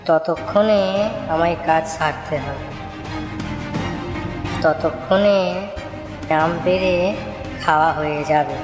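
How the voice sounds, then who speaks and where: A woman voicing a mischievous, scheming twelve-year-old cowherd boy in a children's cartoon story. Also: sits low. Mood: sly. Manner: plotting, talking to himself and his cow. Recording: echoey reverb, music underneath.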